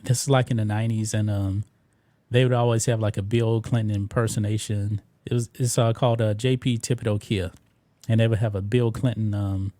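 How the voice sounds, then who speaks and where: A man's voice: fairly low pitch, little pitch movement, a little fast, mellow, no room sound. A man co-hosting a casual chat show, laid-back and full of fillers. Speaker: podcast co-host.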